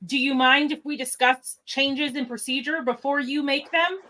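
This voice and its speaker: A woman's voice: high-pitched, fairly even intonation, normal speed, bright, forceful tone. A woman playing an agitated, by-the-book male first officer in an amateur radio drama, scolding and exasperated. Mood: irritated. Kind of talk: amateur radio theatre reenactment.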